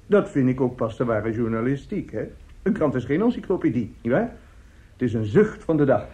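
A man's voice: mid-range voice, very animated, a little fast, mellow, close to an everyday voice. An actor in a Dutch radio play as an older, fatherly newspaperman, chatty and self-satisfied, repeating his words. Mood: jovial, hearty. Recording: muffled old radio play recording.

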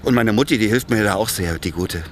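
An actor playing a chatty, naive working-class ex-convict in a naturalistic radio crime drama, speaking colloquially and jovially. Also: male voice, on the low side, fairly quick, a little hiss or hum, natural voice. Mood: upbeat, casual. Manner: colloquial, jovial, simple-minded.

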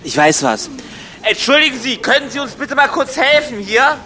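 A man's voice: extremely high pitch, big pitch swings, normal speed, sharp tone, exaggerated voice. A man playing a flippant, grumbling, beer-drinking slacker in a naturalistic radio play, arguing colloquially and sarcastically. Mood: indignant, chatty. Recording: noisy urban street ambience.

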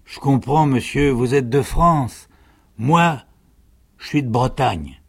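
A man's voice: mid-range voice, creaky, husky, voice pushed up, exaggerated voice. A man voicing a frightened, bewildered young peasant mother, murmuring hesitantly in a dramatic literary reading. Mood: bewildered, simple. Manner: soft, hesitant, halting murmur.

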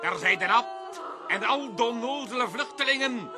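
An actor playing a grim herald of war's horrors, declaiming dramatic verse in a radio tragedy. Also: male voice, very high-pitched, lively intonation, normal speed. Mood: horrified.

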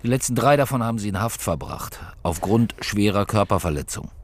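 A man's voice: fairly low pitch, expressive, a little fast, a little hiss or hum. A man playing a middle-aged chief homicide inspector in a radio drama, terse and insistent, formal in his questioning. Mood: formal, pressing. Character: male chief homicide inspector, authoritative, probing interrogator. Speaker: actor in a radio crime drama. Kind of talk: naturalistic German radio drama acting.

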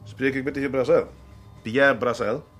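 Actor as dry, shrewd senior police detective. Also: male voice, mid-range voice, fairly even intonation, normal speed, fairly bright tone, faint music behind, close to an everyday voice. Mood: insistent. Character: shrewd, unhurried senior male police detective, dryly stubborn. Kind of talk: Dutch radio drama acting.

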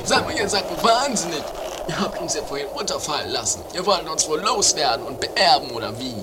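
Indignant driver, played by a performer for comedy radio play: mid-pitched, very animated, theatrical, over loud music. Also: a little fast, very noisy, natural voice. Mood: theatrical, excitable. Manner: theatrical, exclamatory, swooping. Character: excitable, theatrical, indignant driver on a family outing. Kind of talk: improvised 1980s comedy radio play, travesty.

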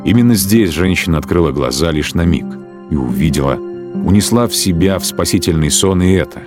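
A man narrating a radio drama in a measured, sombre literary style. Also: sits low, lively intonation. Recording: music bed under narration.

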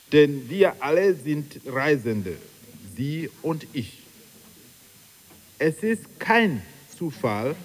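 A man's voice: a little high, darkish tone. A man acting in a radio play as a solemn, grandiloquent speaker ceremoniously addressing travellers. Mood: formal. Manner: ceremonious, oratorical. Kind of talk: theatrical speech in a radio play. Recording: long hall reverb.

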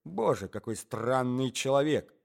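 A man voicing an excitable, indignant old husband who shouts and repeats himself in an audiobook story. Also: mid-range voice, a little slow, creaky. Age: older. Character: agitated, blustering old husband, excitable gentleman.

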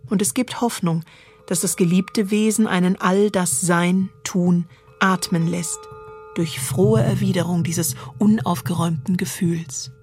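A woman reading a literary text as scripted narration, in a measured, poetic manner. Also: fairly low pitch, steady tone, darkish tone, faint music behind.

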